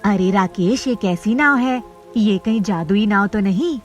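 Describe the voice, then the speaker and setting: A woman's voice: medium pitch, unhurried, soft background music, natural voice. A woman voicing an earnest, worried village schoolchild in a cartoon moral story. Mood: alarmed, wondering.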